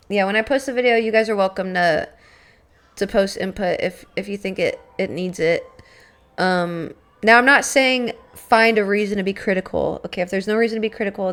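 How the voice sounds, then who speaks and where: Raspy young woman chatting on stream. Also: mid-range voice. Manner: chatty, rambling, swearing, many fillers. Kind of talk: casual live-stream chat with viewers. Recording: faint background music.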